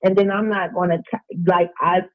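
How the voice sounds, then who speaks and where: A woman's voice: lowish voice, steady tone, normal speed. A woman talking as a teacher in a discussion, making her points emphatically and repeating phrases. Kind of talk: opinionated discussion talk. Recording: tinny phone-like line.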